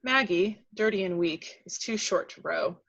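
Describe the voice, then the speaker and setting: A woman with a mid-range voice narrates the action of a script at a table read. She takes her time, telling it in a measured, dramatic storytelling way. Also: mid-range voice, gravelly, fairly bright tone, dull audio. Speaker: reader of action descriptions.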